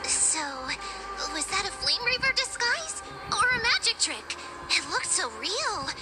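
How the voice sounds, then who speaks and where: A woman's voice: very high voice, very animated, measured pace, full character voice, rough recording. A woman voicing a small, childlike, wide-eyed companion creature in a video game, full of curiosity. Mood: curious, amazed. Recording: music under the voice.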